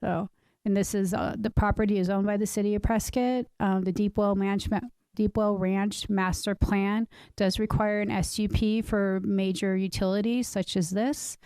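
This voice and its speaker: A woman's voice: mid-range voice, fairly even intonation, moderate pace, dark tone, smooth, no room sound. A woman from city staff presenting a permit request to a planning commission in a plain, matter-of-fact way. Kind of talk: staff presentation at a public meeting.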